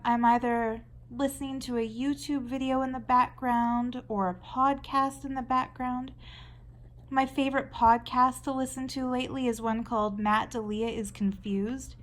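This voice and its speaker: A Canadian woman talking casually while she draws, rambling and chatty with frequent restarts. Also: on the high side. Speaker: artist vlogger. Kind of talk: casual chat while drawing.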